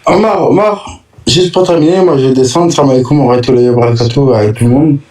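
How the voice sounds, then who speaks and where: A man's voice: medium pitch, fast, darkish tone, recorded from a distance, low-quality recording. A man calling into a live stream, speaking in a casual, friendly way with lots of hesitation. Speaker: caller. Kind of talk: casual chat.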